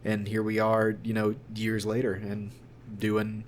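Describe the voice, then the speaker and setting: A man's voice: on the low side, monotone, measured pace, slight background noise. A young man, a voice actor being interviewed, talking in a rambling, informal, conversational way. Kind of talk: casual interview chat.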